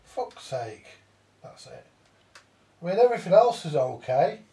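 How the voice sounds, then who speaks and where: A man's voice: on the high side, very animated, unhurried, dark tone. A British man giving a casual, hands-on repair commentary, muttering to himself and swearing now and then as he works. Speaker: vintage audio repairer. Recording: roomy echo, distant mic.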